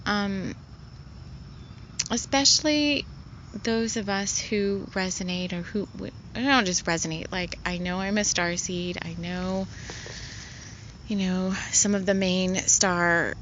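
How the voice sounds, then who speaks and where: A woman spiritual healer in casual, rambling talk, soft-spoken and full of fillers. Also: medium pitch, steady tone, takes it slowly, muffled recording, slight background noise.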